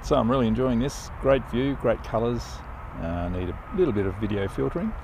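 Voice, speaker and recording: An older man flying a model plane in an open field outdoors, giving relaxed, enthusiastic commentary as he flies. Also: medium pitch, a little fast, noisy background. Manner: relaxed, enthusiastic, thinking aloud while flying. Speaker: RC pilot reviewing a plane. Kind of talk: casual live flight commentary.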